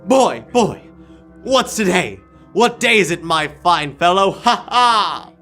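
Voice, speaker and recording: A man's voice: sits high, sing-song, slow pace, sharp tone, heavily acted. A young man playing an old reformed miser giddy with joy, in exclamatory old-time radio drama style. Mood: giddy, joyful. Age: teenager. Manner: exclamatory, theatrical. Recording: live radio play with music.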